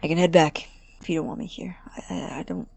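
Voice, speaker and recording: A man acting in an audio drama as an awkward, earnest, apologetic college student who stammers and speaks softly. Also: a little high, steady tone, brisk, no echo, in a whisper. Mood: apologetic, flustered. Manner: hesitant, stammering, soft and breathy when sincere.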